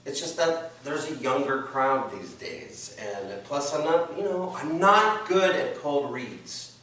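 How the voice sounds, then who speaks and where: A man's voice: a little high, lively intonation, takes it slowly, sharp tone, full character voice. A man playing a defensive, struggling actor boyfriend, hesitant and pleading, in an amateur script read-through. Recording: very echoey room, distant mic, faint noise.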